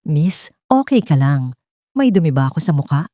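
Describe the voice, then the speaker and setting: Lady doing a jokey flirty guy boss from a romance story, breezy n teasing, very animated, dry sound. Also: low-pitched, moderate pace, no echo. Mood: puzzled. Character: joking, flirtatious, easygoing male store supervisor.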